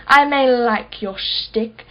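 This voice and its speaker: An actress playing a haughty, sharp-tongued wealthy art collector in a radio play, speaking in an articulate, imperious and sardonic way. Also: female voice, a little high, takes it slowly, highs cut off. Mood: disdainful.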